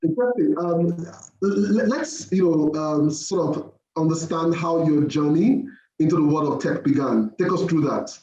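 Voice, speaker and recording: Mellow man interviewing in a roomy space. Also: a little high, normal speed. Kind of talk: interview questioning. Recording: roomy, slightly dull audio.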